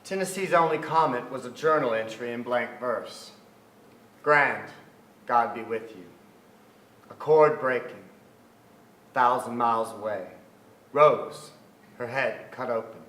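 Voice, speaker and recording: Reflective playwright male actor, forties, deliberate and literary, staged reading in a reverberant theatre. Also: medium pitch, fairly bright tone, natural voice. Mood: somber. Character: reflective Southern playwright remembering his beloved troubled sister. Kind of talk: dramatic staged reading of memoir. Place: theatre stage before an audience. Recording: echoey theatre stage, noticeable noise.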